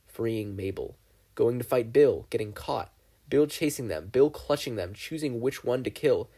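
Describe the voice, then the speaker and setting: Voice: mid-range voice, animated, unhurried, warm tone, slightly rough. A reader narrating a fan fiction audiobook in a subdued, somber storytelling manner. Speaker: podfic reader.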